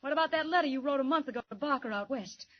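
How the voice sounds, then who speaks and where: A woman's voice: sits high, rapid-fire, lightly acted. An actress playing a frightened but spirited young secretary in a 1940s radio drama, telling her story urgently. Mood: defiant. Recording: dull old radio transcription.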